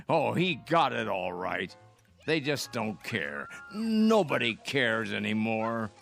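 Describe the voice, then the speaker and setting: An actor playing a bitter, grumbling old rest-home resident in a radio drama. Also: male voice, medium pitch, big pitch swings, takes it slowly, creaky, a bit bright, faint music behind, heavily acted. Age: older. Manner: grumbling, muttering.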